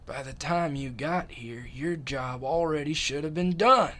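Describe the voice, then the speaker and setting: A man playing a dutiful, regretful butler in a radio drama, measured and formal. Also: on the high side, bright, forceful tone. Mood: ashamed. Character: apologetic, dutiful butler, ashamed of his oversight.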